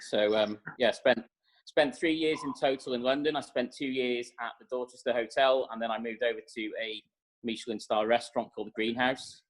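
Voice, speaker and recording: A man in his thirties talks over a video call with a flat, even tone. He answers at a steady pace, with many fillers and small restarts. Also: medium pitch, fairly quick, poor audio. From North Wales. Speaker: executive chef, guest. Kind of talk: interview answers on a webinar.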